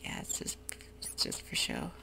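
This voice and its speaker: A woman whispering soft, hushed ASMR narration over gameplay at home, with frequent ums, as keyboard clicks sound behind her. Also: normal speed. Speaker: ASMR gaming creator.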